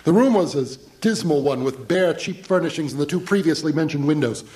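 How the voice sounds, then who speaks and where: An actor playing an anxious, haunted traveller, narrating a horror radio drama in literary, ominous phrases. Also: male voice, fairly high pitch, slight background noise, lightly acted. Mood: uneasy dread. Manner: literary, measured, ominous, urgent under stress. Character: anxious, haunted traveller recounting his ordeal.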